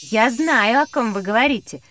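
Woman playing a curious, high-voiced clever child. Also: animated, moderate pace, fairly bright tone, faint music behind. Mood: curious, knowing. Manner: inquisitive, articulate. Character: bright curious child on a time-travel lesson. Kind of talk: scripted educational audio drama.

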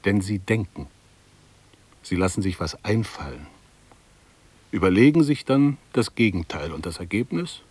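Worried middle-aged bank clerk, radio play, mulling things over, husky, pitch moves a lot. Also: male voice, lively intonation, moderate pace, pauses often, a little mellow. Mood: worried, fretful. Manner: ruminative, precise, fretful inner monologue. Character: fussy, brooding 52-year-old male bank clerk. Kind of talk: 1960s German radio drama monologue.

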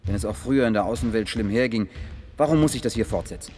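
A man's voice: lowish voice, fast, a little mellow, faint music behind, natural voice. An actor playing a polite, earnest young man in a German radio play, courteous and inquiring with slight hesitation. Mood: indignant.

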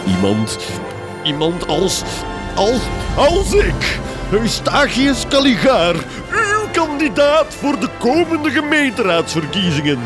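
A pompous mad doctor in a gothic comedy radio play, with a Flemish accent. He orates grandly and unhurriedly, his pitch swooping theatrically, with prominent music behind him. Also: wildly swooping pitch, takes it slowly, heavily acted. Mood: rousing, self-promoting. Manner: grandiose, declamatory, orating.

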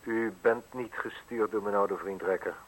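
A man's voice: on the low side, steady tone, normal speed, lightly acted, rough recording. An actor playing a wealthy, shrewd, guarded man in a radio play, with a terse, dry, probing delivery. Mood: suspicious, probing. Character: wealthy, successful, shrewd and guarded male host. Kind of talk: Dutch radio play acting. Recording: hissy radio drama recording.

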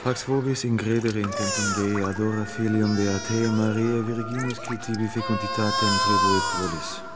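A woman's voice: rumbling low, fairly even intonation. A woman playing a solemn cleric who recites blessings and register entries in a formal radio drama style. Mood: solemn chanting. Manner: slow, ceremonial, formal recitation. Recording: music behind the voice.

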